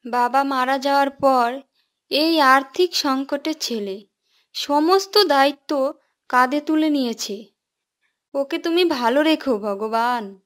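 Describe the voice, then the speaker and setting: Female actor as worried mother in an animated village drama: high pitch, unhurried, tender, plaintive. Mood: sorrowful, prayerful. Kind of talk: cartoon family drama acting.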